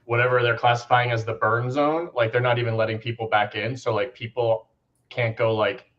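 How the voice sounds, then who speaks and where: A man's voice: medium pitch, steady tone, a little fast, a bit bright, dull audio. A hungover man chatting casually over a video call with a headset, profane and rambling. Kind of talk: casual chat.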